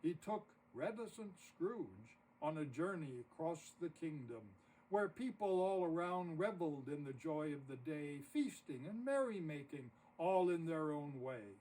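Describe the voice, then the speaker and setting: Slow, dramatic male storybook narrator. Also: on the high side, expressive, warm tone. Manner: dramatic, deliberate phrasing. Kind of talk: expressive rhymed storybook narration. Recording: distant mic with audible noise.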